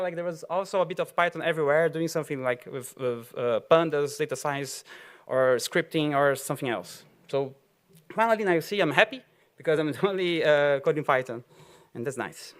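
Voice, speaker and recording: A man with a Brazilian Portuguese accent, his voice a touch high, talks to an auditorium crowd. He rambles in a loose, chatty way, full of fillers and little laughs. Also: a bit bright. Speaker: conference speaker. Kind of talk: tech conference talk.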